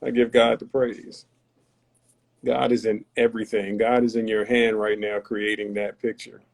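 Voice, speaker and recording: A man's voice: lowish voice, little pitch movement, moderate pace, creaky. A man from North Carolina, a podcast guest talking in an earnest, philosophical, somewhat preachy way. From Tarboro, North Carolina. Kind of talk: reflective conversational talk.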